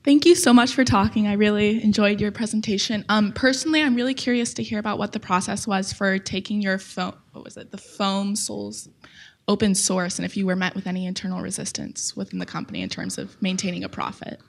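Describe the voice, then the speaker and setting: Young woman with a gravelly edge. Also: mid-range voice, animated, a little fast. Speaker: audience member asking a question.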